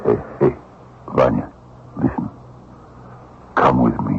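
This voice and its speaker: A man playing a scheming, bitter Russian vagrant thief in a radio drama, gravelly and husky, bullying, taunting and wheedling. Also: extremely low pitch, fairly even intonation, takes it slowly, a little hiss or hum, full character voice. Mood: conspiratorial, urging. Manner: taunting, wheedling, muttered asides and scoffing. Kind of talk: old-time radio drama acting. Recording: dull, lo-fi radio drama recording.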